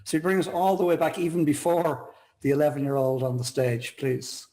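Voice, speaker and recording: Male talk show host, fairly high voice, effusive and complimentary in casual conversation. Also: level tone, brisk, dark tone. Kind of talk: casual online interview chat.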